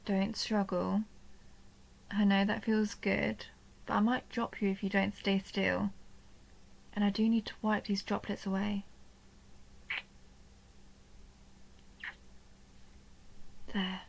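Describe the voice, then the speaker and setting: Vampire countess for intimate audio roleplay, played by a woman: soft and coaxing, near-whispered, creaky, narrow pitch movement, lonely and possessive. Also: flat delivery, measured pace. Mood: teasing, doting. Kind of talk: intimate roleplay audio drama, addressed to the listener.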